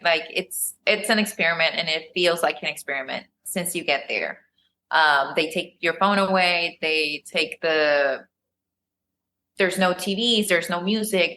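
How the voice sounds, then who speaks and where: This is a woman guest on a chat podcast whose first language is Spanish. Her voice sits a bit low but comes across bright and forceful. She talks in a relaxed way, laughing easily and filling pauses with ums, over a faint background hum. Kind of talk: casual interview chat.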